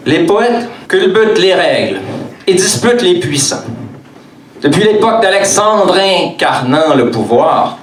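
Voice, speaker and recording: A man with a Quebec French accent recites slam poetry very slowly and deliberately. His voice sits fairly high. It is recorded a little far from the mic in an echoey room. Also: big pitch swings. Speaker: slam poet. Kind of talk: live spoken-word poetry performance. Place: indoor bar stage. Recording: echoey room, distant mic, faint noise.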